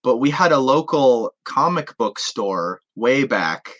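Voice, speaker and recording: A man's voice: medium pitch, animated, slow pace, fairly bright tone. A man co-hosting a podcast, chatting casually and reacting with exclamations and dismay. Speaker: podcast co-host.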